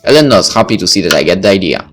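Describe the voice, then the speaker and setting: A man's voice: deep voice, expressive, very fast, fairly bright tone, faint music behind. A man reading a visual novel aloud as a let's play narrator, with casual asides.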